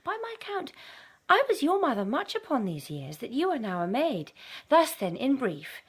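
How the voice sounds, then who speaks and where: A woman playing a commanding, brisk aristocratic mother in a Shakespearean audio drama, formal and persuasive in her delivery. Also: high voice, wildly swooping pitch, husky, heavily acted. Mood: businesslike. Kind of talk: Shakespearean full-cast audio drama acting.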